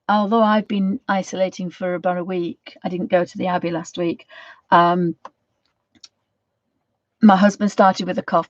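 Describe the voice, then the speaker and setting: A woman with a croaky voice from a cold, chatting casually as she gives a painting tutorial and rambling with many ums. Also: on the low side, dry recording. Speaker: art channel host. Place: home studio. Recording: dry, slightly dull sound.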